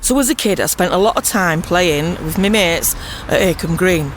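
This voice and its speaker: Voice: mid-range voice, expressive, moderate pace, soft background music. A person reminiscing casually in a chatty, mildly indignant manner. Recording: faint outdoor traffic noise.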